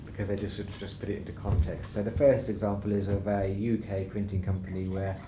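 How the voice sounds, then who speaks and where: A man's voice: lowish voice, flat delivery, fast talker. A man co-presenting a conference session, talking plainly and practically as he walks through worked examples. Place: conference room. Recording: echoey room with background noise.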